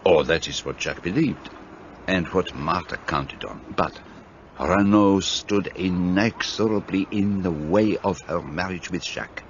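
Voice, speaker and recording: A man's voice: low voice, a little slow, dull audio, slight background noise. An actor playing a shrewd, fussy Belgian detective in a radio play, speaking precisely and courteously as he lays out his reasoning. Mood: explaining.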